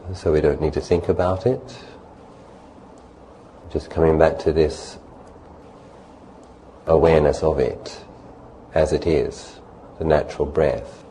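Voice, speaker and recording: A man with a very low, soft-edged voice gives guided meditation instruction. He speaks calmly in short, unhurried phrases and leaves long pauses between them. There is faint ambient noise behind him. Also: poor audio. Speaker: meditation teacher.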